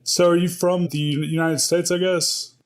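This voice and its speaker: A man's voice: a little high, level tone, moderate pace, clean tone. A man hosting a podcast in a conversational style, asking questions in an easygoing, informal way. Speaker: podcast host, interviewer.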